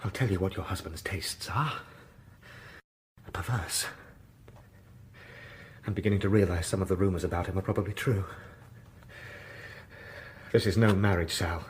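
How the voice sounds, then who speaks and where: An actor playing an earnest, wounded lover in a radio drama, speaking intensely and emphatically. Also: male voice, deep voice, normal speed, pauses often, a little hiss or hum, close to an everyday voice. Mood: bitter disgust. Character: earnest, wounded male lover pleading with a married woman.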